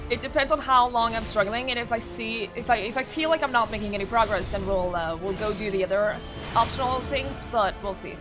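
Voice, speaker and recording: A woman's voice: fairly high pitch, fairly quick, music in the background. A young woman from Norway chatting casually with her chat while streaming a game, answering questions with frequent fillers and small laughs.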